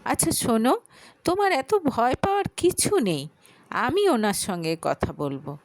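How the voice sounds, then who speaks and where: A woman's voice: fairly high pitch, sing-song, measured pace, dry recording. A woman voicing a kind, protective, composed adult woman who speaks reassuringly in a dramatic audio story. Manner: soft, reassuring, questioning.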